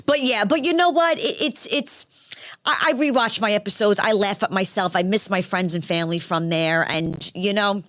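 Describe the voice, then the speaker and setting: A woman from Staten Island with a New York accent, talking as a guest in a casual interview in a brash, animated way with many restarts. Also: mid-range voice, normal speed, dry recording, studio quality. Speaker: guest, former reality show contestant.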